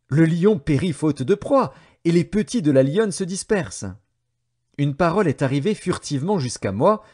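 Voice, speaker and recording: A man reading scripture as an audiobook narrator, in a measured, declamatory and solemn style with dramatic phrasing. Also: a little high, warm tone.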